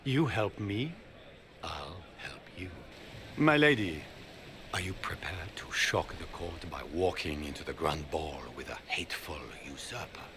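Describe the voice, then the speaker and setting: A scheming grand duke in a fantasy game, smooth and persuasive, courting allies with theatrical flourishes. His voice sits low but swings widely in pitch. A faint hiss runs beneath it. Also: expressive, unhurried, sharp tone, exaggerated voice. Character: scheming, charming noble grand duke, middle-aged man. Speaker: grand duke seeking the throne. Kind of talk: video game cutscene dialogue.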